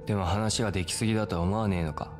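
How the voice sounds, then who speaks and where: An actor playing a tough young street fighter, gruff and defiant, with rough, blunt speech in game dialogue. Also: male voice, lowish voice, steady tone, rapid-fire, lightly acted. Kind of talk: dramatic video game dialogue. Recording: echoey reverb, music underneath.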